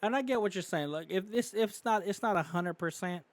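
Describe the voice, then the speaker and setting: A man's voice: sits high, a little fast, dry recording. A man from California co-hosting a podcast, talking in a casual, opinionated way with many fillers and restarts. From California, United States. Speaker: podcast co-host.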